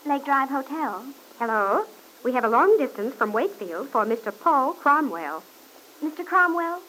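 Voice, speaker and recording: An actress playing a brisk, formal switchboard operator in an old radio serial. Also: high-pitched, animated, bright, forceful tone, exaggerated voice. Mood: businesslike. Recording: noisy vintage radio recording.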